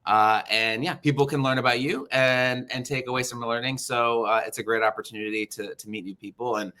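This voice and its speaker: A man's voice: medium pitch, fairly even intonation, a little fast, sharp tone. A man hosting a video call interview, friendly and encouraging with many fillers. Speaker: interview host. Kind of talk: casual interview chat.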